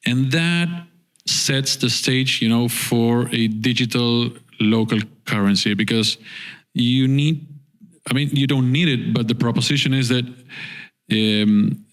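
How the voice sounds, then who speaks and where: A man's voice: medium pitch, smooth. A man speaking on a conference panel, earnest and repeating words as he works out his points. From Argentina.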